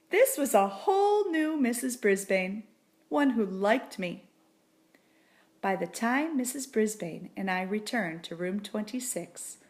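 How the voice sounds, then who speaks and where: Slow-paced woman reading a children's storybook. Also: a little high. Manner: deliberate, expressive reading with acted dialogue. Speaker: reader of a children's book. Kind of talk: storybook read-aloud narration.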